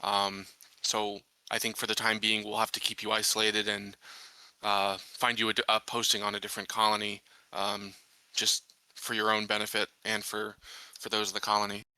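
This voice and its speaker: A man playing a calm, gentle but firm colony sheriff in a tabletop game, speaking in a measured, hesitant way. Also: on the low side, no echo, rough recording. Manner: measured, hesitant, plain-spoken.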